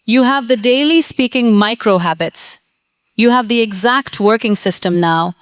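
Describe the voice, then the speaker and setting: Woman teacher, earnest pep-talk delivery, fairly high, very clean voice, dry sound. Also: normal speed, smooth, no echo. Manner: earnest, encouraging, short declarative sentences. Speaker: English teacher. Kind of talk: scripted motivational lesson.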